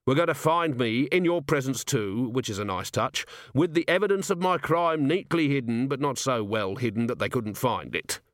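Guy doing a jaded middle-aged cop boss, sardonic and blunt, lively pitch, audiobook. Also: normal speed, hardly any pauses, no room sound, close to an everyday voice. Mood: exasperated. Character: cynical world-weary police commander, middle-aged man.

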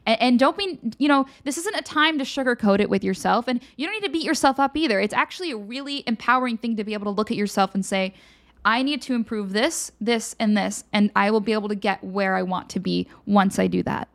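A woman with a fairly high voice hosts a live-streamed show and talks straight to her viewers. She speaks in a loose, unscripted way, giving blunt personal advice like she is chatting with friends. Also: fast talker. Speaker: host of a livestream show.

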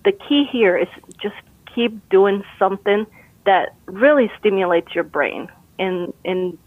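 A woman's voice: medium pitch, a little slow, dry recording. Upbeat woman on a tinny phone line. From Costa Rica. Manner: upbeat, encouraging, laughs easily. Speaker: brain health expert guest. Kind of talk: casual interview advice.

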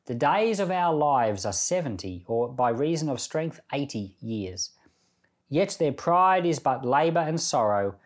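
A middle-aged man with a medium-pitched voice teaches from the Bible. He speaks earnestly and conversationally, setting out his phrases with deliberate care as he reads and comments. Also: very animated. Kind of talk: Bible reading with devotional commentary.

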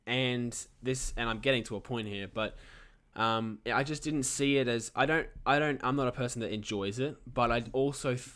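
A man's voice: medium pitch, moderate pace, fairly bright tone. A teenage man with an Australian accent hosting a podcast, thinking aloud earnestly and restarting his sentences as he goes. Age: teens. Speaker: podcast host. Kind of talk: casual podcast chat.

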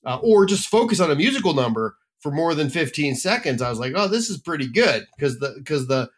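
A man's voice: a little high, big pitch swings, a little fast, breathy edge. Blunt, emphatic male film critic. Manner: blunt, emphatic, exasperated opinions. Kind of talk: casual review chat.

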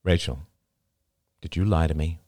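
Man acting a low, controlled, businesslike man. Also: normal speed, no echo, clean studio sound. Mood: stern. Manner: flat, controlled, terse. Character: calm, stern, businesslike man pressing for answers. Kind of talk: audiobook character dialogue.

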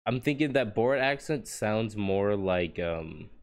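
A young man with a fairly low voice co-hosts a podcast. He speaks with an American accent, though Polish is his first language. His talk is laid-back and full of swearing. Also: measured pace. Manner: relaxed, swearing, laughs easily. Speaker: podcast co-host. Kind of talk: casual chat.

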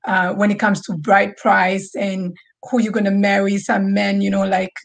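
A woman's voice: medium pitch, monotone, moderate pace, vocal fry. Woman from Tanzania on a panel. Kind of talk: panel discussion.

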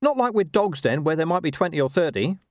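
A man's voice: on the high side, sing-song, fast talker, no echo, clean studio sound, pitched above the performer's own voice. A man voicing a cynical, streetwise little talking dog who chatters sardonically in a storybook reading. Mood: sardonic, nosy.

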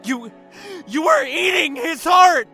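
Animated, horrified, heartbroken young man. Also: very high voice, slow pace, vocal fry, faint music behind, full character voice. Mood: horrified, furious. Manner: stammering, agitated. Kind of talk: audio drama acting.

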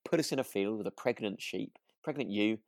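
A man's voice: on the low side, fairly quick, no echo, clean studio sound. A British man co-hosting a podcast in casual chat, often rephrasing his thoughts mid-sentence. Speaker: podcast co-host. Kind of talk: casual chat, reviewing a radio drama.

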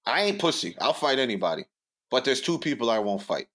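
A man's voice: mid-range voice, sing-song, brisk, a bit bright, a little hiss or hum. A young man, telling stories bluntly and building up jokes on a podcast. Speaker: podcast co-host. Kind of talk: casual storytelling chat.

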